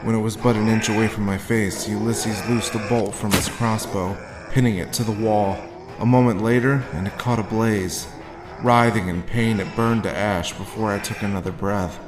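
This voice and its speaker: A man playing a haunted, sardonic drifter in a dark horror audio drama, moving between first-person narration and dialogue. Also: lowish voice, steady tone, normal speed, noisy background, close to an everyday voice. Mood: brooding. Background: background music.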